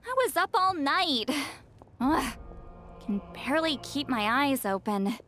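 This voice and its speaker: An actress playing a proud, easily flustered young female shaman in a video game, expressive and huffy. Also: high voice, measured pace, slight background noise, exaggerated voice. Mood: huffy, sleepy. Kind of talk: scripted video game dialogue acting.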